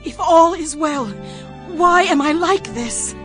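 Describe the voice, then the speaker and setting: Troubled expectant mother, very high and slow, dramatized scripture. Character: troubled, bewildered expectant mother in ancient times. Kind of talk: dramatized audio scripture acting. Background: music.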